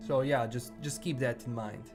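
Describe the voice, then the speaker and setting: A man's voice: mid-range voice, steady tone, moderate pace, music in the background. A man with a Bosnian accent chatting casually on a live stream, rambling and restarting his sentences often. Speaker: livestream host.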